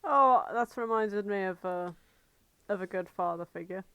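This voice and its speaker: A woman's voice: medium pitch, moderate pace, a bit bright, smooth, no room sound. A woman co-hosting a podcast in casual, unscripted chat, informal and rambling, with some swearing. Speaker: podcast co-host.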